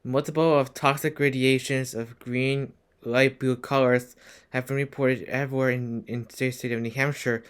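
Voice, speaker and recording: A man's voice: mid-range voice, level tone, moderate pace, few pauses, clear, resonant tone, lightly acted. A man playing a local news anchor in an amateur disaster drama, announcing with slight stumbles. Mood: grave. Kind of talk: amateur emergency news broadcast acting.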